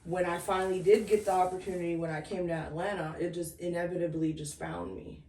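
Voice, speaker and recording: A woman in her thirties, born in Sudan and raised in the Twin Cities, telling her story as a guest in a casual interview, reflective and halting. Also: lowish voice, flat delivery, warm tone, distant mic. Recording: echoey room, off-mic, faint noise.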